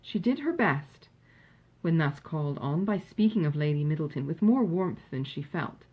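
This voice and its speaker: A woman narrating a classic novel in an expressive, measured storytelling manner, with a slightly husky voice. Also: low-pitched, muffled recording. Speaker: audiobook narrator.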